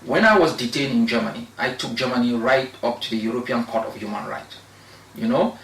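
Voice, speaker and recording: A young man from Cameroon, a law researcher, giving measured, argumentative answers as an interview guest, with pauses and restarts. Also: mid-range voice, a bit bright, recorded from a distance. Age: young adult. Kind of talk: interview discussion. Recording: echoey room, voice off-mic, faint noise.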